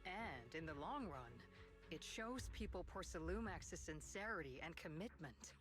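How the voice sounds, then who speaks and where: A composed, commanding colonel, music behind. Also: female voice, lowish voice, measured pace. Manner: formal, even-tempered, matter-of-fact. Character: composed, authoritative female military colonel. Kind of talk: video game voice acting.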